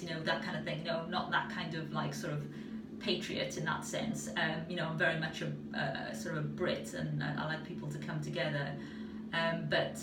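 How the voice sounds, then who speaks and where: A young woman with a South Wales Valleys accent, chatting casually with lots of fillers and restarts. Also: deep voice. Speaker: video answerer. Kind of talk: casual spoken answer. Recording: roomy echo, far from mic, loud noise.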